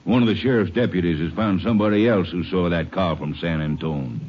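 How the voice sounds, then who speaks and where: An actor playing a gruff, defensive rancher with rough speech in a 1950s radio drama. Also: male voice, sits low, a little fast, natural voice. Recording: dull old broadcast with faint hiss.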